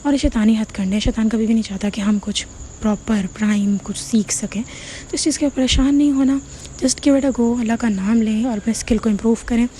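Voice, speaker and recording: A woman with a Pakistani Urdu accent talks viewers through English-learning tips in a relaxed, chatty way, checking in often and slipping English words into her speech. Her voice sits a little high yet sounds soft and mellow. A faint hiss runs under the recording. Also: a little fast. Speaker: online English teacher. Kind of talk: casual advice talk to viewers.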